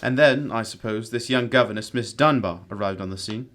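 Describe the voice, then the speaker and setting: A man's voice: on the low side, brisk, fairly bright tone, a little hiss or hum, lightly acted. Cool, exacting detective played by a man. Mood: probing, stern. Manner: crisp, formal, probing. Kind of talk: amateur radio drama acting.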